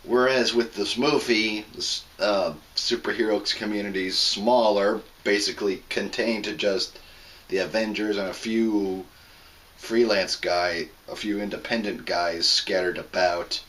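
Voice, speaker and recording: A man hosting his own review show, hoarse from a sore throat, explaining a plot in a plain, casual way with some restarts. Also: lowish voice, fairly even intonation, measured pace, a bit bright. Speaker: host of a movie review show. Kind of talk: casual spoken movie review.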